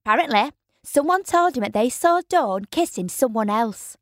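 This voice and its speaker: A woman's voice: on the high side, animated, moderate pace, no room sound, studio quality, lightly acted. A woman voicing a teasing, gossipy teenage girl. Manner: chatty, knowing, teasing.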